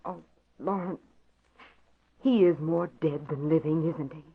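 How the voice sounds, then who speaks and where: An actress playing a troubled, compassionate young heiress in an old-time radio drama. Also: female voice, low voice, measured pace, a little hiss or hum. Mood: troubled, pleading. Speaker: radio actor. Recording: tinny old radio recording.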